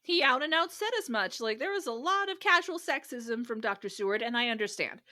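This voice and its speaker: Expressive, very high-pitched woman podcaster. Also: a little fast. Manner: exasperated, expressive, swears freely. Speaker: podcast host. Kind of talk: casual chat about a novel.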